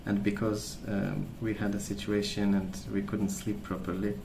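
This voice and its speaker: A man speaking softly as an ASMR host, rambling through stories in a hesitant, pausing, unhurried way. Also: on the low side, completely flat delivery, vocal fry, background noise. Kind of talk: soft-spoken ASMR rambling.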